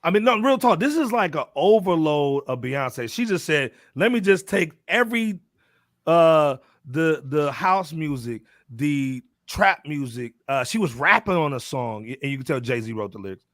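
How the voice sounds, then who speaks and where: An American man hosting a casual music podcast, talking in an animated, slangy, emphatic way. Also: fairly high pitch, moderate pace, dry recording. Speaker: podcast host. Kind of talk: casual music panel chat.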